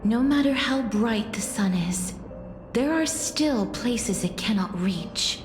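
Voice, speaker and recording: An actress playing a gentle, sorrowful demigod of death in a game, speaking softly and formally. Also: female voice, fairly high pitch, expressive, takes it slowly. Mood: solemn. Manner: soft, formal, mournful. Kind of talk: dramatic game voice acting. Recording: echoing voice over music.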